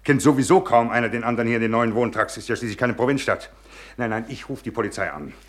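Actor as a fast-talking, testy husband. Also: male voice, medium pitch, lightly acted. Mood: worried, brisk. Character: tense, testy, suspicious husband and father. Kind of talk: German radio drama acting.

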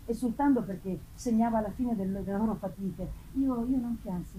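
A woman's voice: mid-range voice, voice pushed down, natural voice. Woman as a solemn, anguished gothic avenger, noisy recording. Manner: solemn, theatrical, swinging from hushed to declamatory. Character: anguished male avenger narrating his tragic hunt. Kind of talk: dramatic gothic novel reading. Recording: noisy live festival performance recording.

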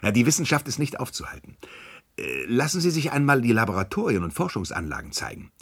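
An actor in a German radio play, playing a boastful, scheming animal park director, effusive and emphatic, with hesitations and repeated words. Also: male voice, mid-range voice, huge pitch swings, fast talker, slightly rough, full character voice.

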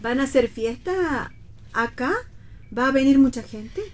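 A woman's voice: high voice, unhurried, a little hiss or hum. An actress playing a proper, homely older mother, mildly reproachful and resigned, in a read radio play. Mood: prim, insistent. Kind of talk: staged reading of a radio play.

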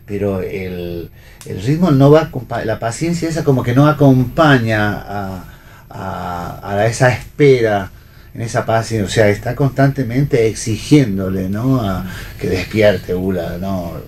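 Low-pitched man, tentative discussion. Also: a little slow, darkish tone. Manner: tentative, repetitive, checking with "no?". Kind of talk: unscripted discussion. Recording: faint background noise.

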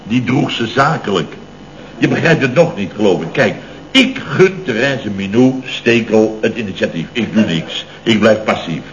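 An actor playing an indignant, rambling middle-aged contractor boss in a radio comedy, full of exclamations and rhetorical questions. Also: male voice, fairly low pitch, lively intonation, moderate pace, natural voice. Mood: self-satisfied. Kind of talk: 1970s Dutch radio comedy acting. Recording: dull, noisy old radio recording.

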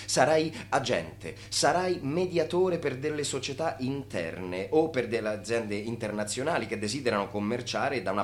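An actor playing a knowing narrator in radio theatre, addressing the hero in a pointed, matter-of-fact way. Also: male voice, fairly low pitch, a little hiss or hum, lightly acted. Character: knowing narrator laying out the schemer's life.

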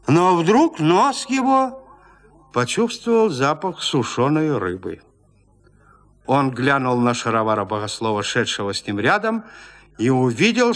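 A man narrating classic literature as an audio drama, with measured, expressive storytelling. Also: medium pitch.

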